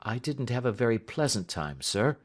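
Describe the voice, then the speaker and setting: A man's voice: medium pitch, big pitch swings, normal speed. A man reading aloud, voicing a nervous, polite teenage schoolboy who answers a teacher hesitantly. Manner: hesitant, polite, saying sir.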